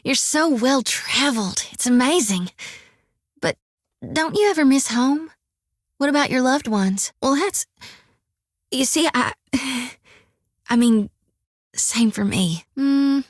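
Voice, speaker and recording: A woman's voice: high-pitched, slow pace, pauses often, no room sound. An actress voicing a folksy, earnest young woman priest of death in a video game, with a drawl. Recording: dry studio recording.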